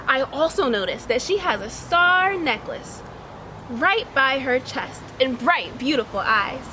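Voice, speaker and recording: A woman with a high, very lively voice reads a children's story aloud in an instructive teacher's way. She addresses young listeners directly and shapes the narration expressively. There is clear background noise, like street ambience. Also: unhurried, low-quality recording. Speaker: teacher reading a picture book aloud.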